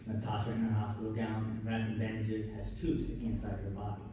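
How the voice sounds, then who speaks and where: A man's voice: on the low side, monotone, quick pace, distant mic. A man narrating a live radio play, reading out scripted stage directions. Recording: echoey hall from afar, faint noise.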